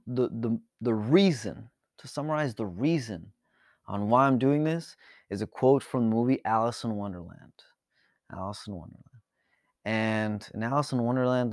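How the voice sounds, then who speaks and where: A man from Mexico and the United States giving an informal, reflective monologue as a channel host, speaking earnestly and haltingly, repeating words for emphasis. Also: mid-range voice, a little mellow, no room sound, studio quality. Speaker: podcast and YouTube channel host.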